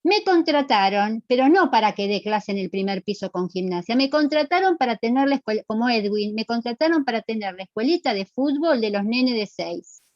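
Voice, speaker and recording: A woman's voice: a little high, animated, brisk, dry recording, muffled recording. A middle-aged woman with a Rioplatense Argentine accent lecturing to students in a didactic, emphatic way, checking they follow with short questions. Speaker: physical education lecturer.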